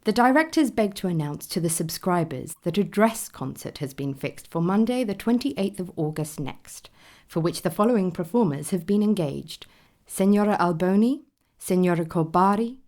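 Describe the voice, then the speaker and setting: An actress voicing a formal Victorian newspaper critic, reading deliberately in a radio play. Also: female voice, lowish voice, animated, darkish tone, close to an everyday voice. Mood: formal announcing. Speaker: reader of newspaper notices. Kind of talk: dramatised reading of 19th-century newspaper.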